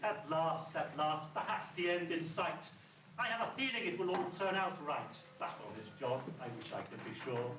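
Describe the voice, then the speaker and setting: A man's voice: on the high side, lively intonation, moderate pace, a bit bright. A man acting a lordly, stern but secretly hopeful beast in an amateur rhyming pantomime, imperious and theatrical. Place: school hall stage. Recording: echoey distant stage recording with hiss.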